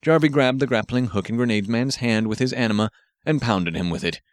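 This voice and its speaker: A man reads an action story as an audiobook narrator. His voice sits at an average male pitch, and the recording is very dry, with no room sound around it. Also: fairly quick, no room sound.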